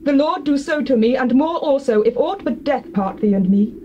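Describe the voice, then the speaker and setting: Steadfast young widow in bible audio drama, earnest measured speech, dull hissy cassette. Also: female voice, sits high, lively intonation, warm tone. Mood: earnest devotion. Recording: muffled hissy old cassette tape.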